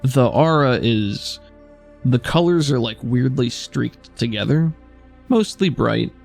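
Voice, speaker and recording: Casting: male game master, mid-pitched with vocal fry, casual improvised narration over faint music. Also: animated, a little mellow. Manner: casual, halting, descriptive.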